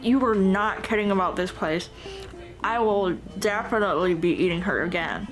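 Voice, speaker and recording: A woman's voice: medium pitch, normal speed, soft background music, lightly acted, low-quality recording. A woman voicing a cheerful headless Dullahan on a date in a scripted ASMR roleplay, chatting playfully and directly to the listener. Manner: playful, animated, punning, speaking directly to the listener.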